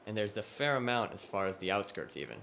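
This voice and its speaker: A fairly low male voice by an actor playing the well-informed one in a cyberpunk crew. He explains things plainly and matter-of-factly in an audio drama. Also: sing-song, fast, fairly bright tone, slight background noise. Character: knowledgeable, matter-of-fact male crew member and driver.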